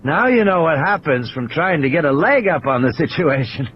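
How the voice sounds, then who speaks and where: A man performs an injured, doped-up mathematician in an audio drama, wry and expansive. His voice leaps high and swings widely in pitch, with a slightly muffled sound. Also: very animated, moderate pace, a little hiss or hum. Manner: droll, complaining, expansive. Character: male injured mathematician, wry, drugged, joking. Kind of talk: audio play character dialogue.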